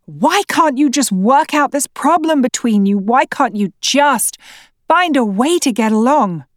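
A woman voicing a worn-out, angry father and husband in a family argument, shouting and pleading. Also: fairly high pitch, big pitch swings, a little slow, no room sound, studio quality. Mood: furious. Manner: raised, pleading, exasperated. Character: angry exhausted middle-aged stepfather and husband.

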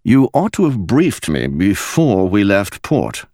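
A man's voice: on the low side, very animated, slow, warm tone, dry recording, studio quality, voice pushed up. A man voicing a young English naval captain who speaks in a starchy, formal, proper manner. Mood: stern, proper.

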